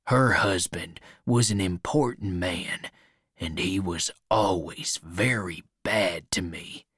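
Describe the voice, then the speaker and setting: A reader voicing a vengeful male outlaw in a storybook, speaking quietly with dark, threatening menace. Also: slightly rough, dry recording, studio quality, full character voice. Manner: low, hushed, dark menace.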